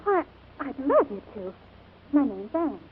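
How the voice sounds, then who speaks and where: An actress playing an eager, plucky young American sister, earnest and polite but stubborn, in a 1940s radio drama. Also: female voice, high voice, big pitch swings, a little slow. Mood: flustered, eager. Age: young adult. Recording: tinny, noisy 1940s radio recording.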